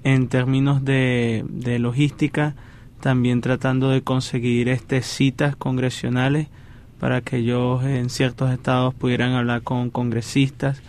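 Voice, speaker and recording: A man's voice: medium pitch, level tone, unhurried, darkish tone. A man from Venezuela, a student guest, speaking earnestly and steadily with some fillers.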